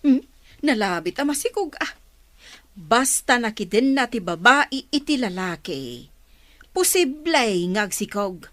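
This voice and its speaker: A nosy older auntie with an Ilocano Filipino accent, played by a woman. Her fairly high voice rises and falls dramatically as she talks in a knowing, gossipy radio-drama manner. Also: big pitch swings, unhurried, fairly bright tone. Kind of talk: radio serial drama acting.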